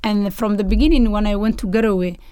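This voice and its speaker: A woman's voice: mid-range voice, steady tone, brisk, dark tone, smooth, dry recording. A woman from Somalia with a Somali accent, speaking earnest non-native English in short phrases as she tells her story in an interview. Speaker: interview guest, basketball coach and organiser.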